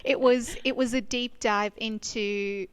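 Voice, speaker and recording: A woman's voice: on the high side, fairly even intonation, a little slow, a little hiss or hum. A woman in her thirties from Kenya, a speech pathologist, chatting as an interview guest with lots of fillers and restarts. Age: 30s. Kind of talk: casual interview chat. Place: regional town in Australia.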